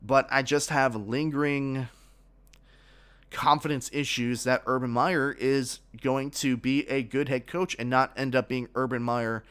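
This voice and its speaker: A man's voice: medium pitch, steady tone, a little slow, fairly bright tone, clear, resonant tone. A man hosting his own sports podcast, giving opinions with many fillers and restarts, a little congested from a frog in his throat.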